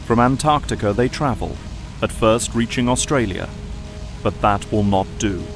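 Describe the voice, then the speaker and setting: A man's voice: fairly low pitch, moderate pace, vocal fry, music in the background. A man reading scripted, dramatic narration for an audio drama.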